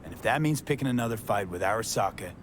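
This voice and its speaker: A man's voice: on the low side, lively intonation, fast talker, close to an everyday voice. An actor playing a blunt, sardonic male mercenary who talks in short, clipped sentences. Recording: game audio with clear background noise.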